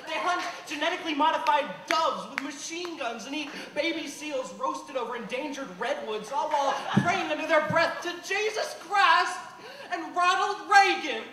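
A man delivers slam poetry in a high, projected voice with emphatic declamation. He is recorded at some distance in an echoey live venue, with clear noise behind him. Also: normal speed. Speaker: slam poet.

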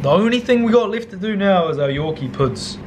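A young man with a New Zealand accent, talking casually through a cooking tutorial in his home kitchen, slangy and swearing offhand, often dropping to low mutters. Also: expressive, a little fast, noisy background. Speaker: cooking vlogger.

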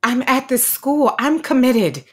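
Earnest, higher-voiced schoolteacher. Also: female voice, animated. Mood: defensive, firm. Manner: measured, direct, pleading yet principled. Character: earnest, firm, dedicated woman elementary school teacher. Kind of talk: naturalistic audio drama acting.

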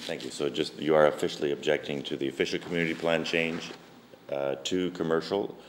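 A man's voice: rumbling low, steady tone, a little fast. A man chairing a council hearing as mayor, keeping it brief and procedural. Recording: noisy council chamber mic.

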